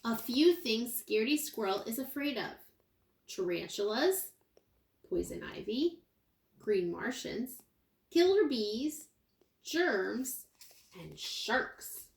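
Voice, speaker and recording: Lady teacher doing storytime for little kids, slow n expressive, kinda high voice, echoey room. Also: vocal fry. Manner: expressive, pausing to ask the listeners questions. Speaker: kindergarten teacher. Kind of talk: read-aloud of a picture book with asides to young children. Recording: roomy, echoey indoor sound.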